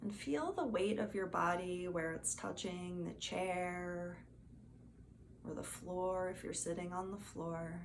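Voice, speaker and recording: A woman gently guiding a meditation as a teacher, in a soothing, soft and unhurried manner. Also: on the low side. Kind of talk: guided meditation instruction. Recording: faint background noise.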